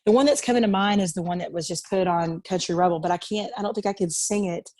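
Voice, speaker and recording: Woman from Arkansas, country singer guest, tired raspy voice, lowish pitch, dry close sound, chatty with fillers. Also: quick pace, darkish tone, dry recording. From Arkansas, USA. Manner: chatty, laughs easily, many fillers. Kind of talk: casual interview chat. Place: sitting in bed at home.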